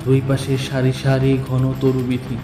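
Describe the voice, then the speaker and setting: Slow, theatrical man narrating, echoey. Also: mid-range voice, completely flat delivery. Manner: theatrical, deliberate, with drawn-out pauses. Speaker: audio story narrator. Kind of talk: dramatic first-person literary story narration. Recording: echoey voice with music bed. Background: background music.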